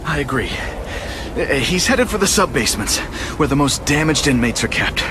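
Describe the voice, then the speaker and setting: An actor playing an injured corporate scientist in a video game, nervous, evasive and hesitant, sounding pained. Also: male voice, medium pitch, sing-song, moderate pace, background noise, close to an everyday voice. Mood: grim, fearful. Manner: hesitant, stammering, defensive. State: coughing, in pain. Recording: loud music and vehicle sounds behind.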